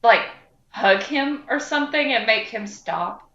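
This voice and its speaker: A woman's voice: on the high side, expressive, unhurried, bright, forceful tone. A woman playing in a tabletop game, improvising role-play in a casual voice. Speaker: podcast host, player in a tabletop game. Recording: roomy, dull lo-fi mic, faint music.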